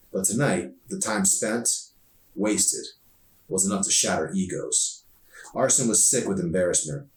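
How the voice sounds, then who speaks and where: A man reading his own novel aloud as an author, in expressive audiobook narration. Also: deep voice. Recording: echoey room, distant mic.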